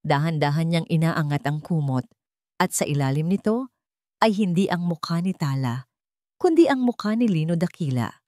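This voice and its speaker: Low-voiced Filipino woman narrating a dramatic story. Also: lively intonation, moderate pace, mellow, dry recording, studio quality.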